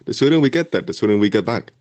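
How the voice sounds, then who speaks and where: Man acting an animated, flower-loving schoolboy wizard. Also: on the low side, racing, lightly acted. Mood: excited, playful. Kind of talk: hobby audio drama acting.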